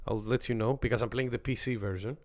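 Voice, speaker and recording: A man's voice: mid-range voice, steady tone, fast. Chatty man from Greece commentating a game. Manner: chatty, reacting to the game and answering chat. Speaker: streamer. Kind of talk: casual gameplay commentary.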